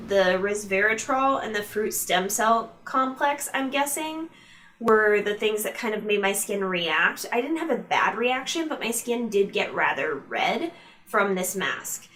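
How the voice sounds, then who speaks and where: A woman's voice: mid-range voice, fairly bright tone, recorded from a distance. A woman chatting informally to her viewers as a beauty vlogger, reviewing products in a casual, direct way. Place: room at home used for filming.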